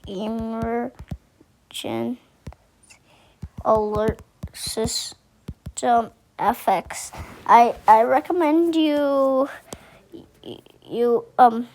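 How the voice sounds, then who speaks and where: A woman giving a casual how-to tutorial, speaking haltingly and repeating herself as she thinks aloud. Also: fairly high pitch, darkish tone. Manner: halting, repetitive, thinking aloud while demonstrating. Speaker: tutorial host.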